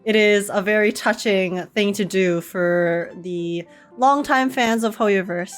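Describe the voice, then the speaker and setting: A giggly, excitable woman giving casual reaction commentary as she plays a game. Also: mid-range voice, takes it slowly, soft background music.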